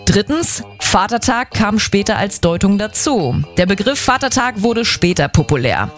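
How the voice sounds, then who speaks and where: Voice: mid-range voice, a bit bright. A speaker giving a scripted, didactic explanation in orderly numbered points.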